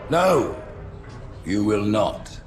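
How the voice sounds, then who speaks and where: An actor playing a solemn, regal alien religious leader in a sci-fi game, delivering deliberate, formal pronouncements. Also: male voice, on the low side, very animated, very noisy, heavily acted. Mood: condemning. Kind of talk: cinematic sci-fi game acting.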